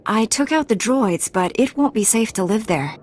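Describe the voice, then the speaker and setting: A woman playing a calm, resolute heroic knight in a video game, with a measured, matter-of-fact delivery. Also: on the high side, slight background noise, lightly acted.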